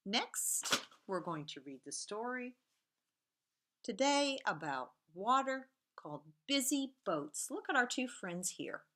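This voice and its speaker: A woman teacher reads a storybook aloud to young children, pausing to explain things to them. She speaks slowly and clearly, and her bright voice swings up and down in a sing-song storybook way. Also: a little high, sing-song. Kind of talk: children's read-aloud lesson.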